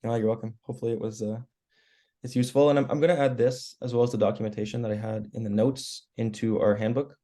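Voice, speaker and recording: A hoarse man, losing his voice after a cold, walking colleagues through editing web pages in a relaxed, explanatory way on a video call. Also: medium pitch, quick pace. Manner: relaxed, explanatory, clears throat often. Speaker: web team member giving training. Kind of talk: screen-share walkthrough on a work call.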